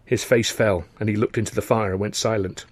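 A man's voice: fairly low pitch, steady tone, fairly quick, no room sound. A man narrating a novel as a dramatised audiobook, with wry, wordy expression. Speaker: author reading his own novel.

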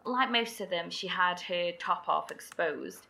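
Slow gravelly woman survivor. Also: on the low side, expressive, pushed and bright, heavily acted. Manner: storytelling, hesitant, sighing. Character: woman survivor recounting eerie night. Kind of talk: audio drama acting.

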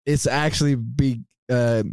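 A man co-hosting a podcast, telling excited stories with plenty of slang and swearing. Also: medium pitch, a little slow, smooth, no echo. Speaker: podcast co-host. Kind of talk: casual podcast chat.

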